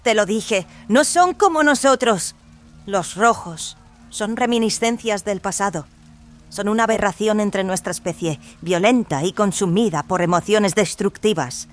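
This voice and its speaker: An actor voicing a gentle female clone in an audio drama, explaining things earnestly and pleadingly. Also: medium pitch, unhurried, lightly acted. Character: soft-spoken, pleading female clone, peaceable and evasive. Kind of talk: dramatized audio fiction acting. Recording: music behind the voice.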